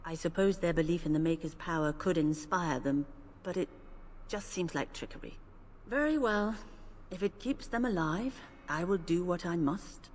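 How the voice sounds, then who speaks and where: An actress playing a devout, principled priestess who speaks in a solemn, measured way. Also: female voice, fairly low pitch, slight background noise, close to an everyday voice. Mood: reluctant. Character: devout older female priestess, gentle but principled. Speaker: game character. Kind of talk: video game voice acting. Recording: game audio with faint ambience.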